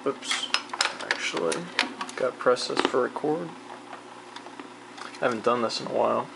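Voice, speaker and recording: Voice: medium pitch, moderate pace. A person chatting casually and off the cuff while demonstrating a vintage machine, rambling and muttering to themselves as they work. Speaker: hobbyist demonstrating vintage tape recorder. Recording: clearly noisy home video, machine hum.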